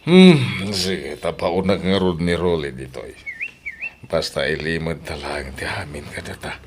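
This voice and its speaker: A deep, animated voice over faint noise: a man acting an older, well-off boss in a radio drama. He is indulgent and secretive with his lover. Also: unhurried. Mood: businesslike.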